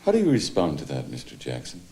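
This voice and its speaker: An actor playing an unflappable group therapist in a comedy film, measured and clinical as he probes his patients. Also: a bass voice, huge pitch swings, creaky, dark tone, heavily acted. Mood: calm, probing.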